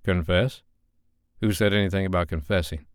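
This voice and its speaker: A man's voice: low voice, moderate pace, smooth, no room sound, clean studio sound, natural voice. A man playing a stern, commanding boyfriend. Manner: firm, clipped, stern.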